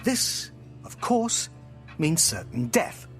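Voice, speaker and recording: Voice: medium pitch, sing-song, takes it slowly, faint music behind. An actor in an audio drama playing a playful, theatrical storyteller who narrates with grand melodrama and teasing asides. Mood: theatrical, melodramatic. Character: playful, theatrical storyteller friend spinning a myth at home.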